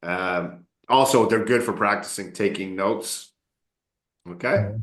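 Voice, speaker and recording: A man coaching English students in a casual online class, relaxed and encouraging, often joking with them. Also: lowish voice, takes it slowly, echoey room. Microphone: video call.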